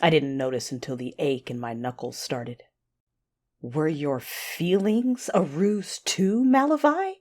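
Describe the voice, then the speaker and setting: A woman playing a passionate, fierce but wounded Sith warrior, narrating her own story in an intimate, breathy audio-drama style. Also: low-pitched, lively intonation, takes it slowly, darkish tone, full character voice. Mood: pained. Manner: intimate, breathy, intense delivery.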